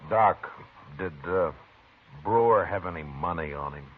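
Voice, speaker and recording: An actor playing a calm, firm frontier lawman in a 1950s radio drama, measured and deliberate. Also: sits low. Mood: steady. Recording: tinny old radio broadcast with hiss.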